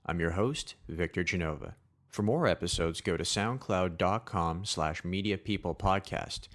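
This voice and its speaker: A man's voice: low-pitched, normal speed, a bit bright. A man hosting an interview podcast, asking friendly, chatty questions with casual fillers. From Canada. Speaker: podcast host. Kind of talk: conversational interview.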